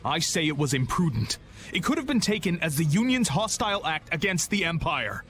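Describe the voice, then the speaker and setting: An actor playing a scornful, disapproving rival guild leader in a video game. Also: male voice, fairly high pitch, very animated, fairly quick, fairly bright tone, faint music behind.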